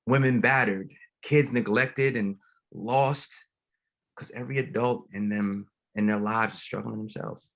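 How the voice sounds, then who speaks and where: An actor playing a gentle, grieving pastor in a dramatic table read, speaking plainly and haltingly. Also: male voice, fairly low pitch, fairly even intonation. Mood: earnest, compassionate. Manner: reflective, plain-spoken, halting. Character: grieving, gentle, reflective male pastor, widowed father.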